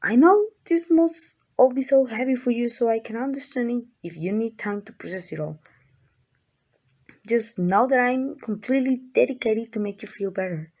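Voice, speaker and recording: A woman's voice: a little high, lively intonation, normal speed, darkish tone. A woman playing an obsessive, doting yandere kidnapper in an ASMR roleplay, gushing and coaxing with affection. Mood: earnest, reassuring. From Mexico.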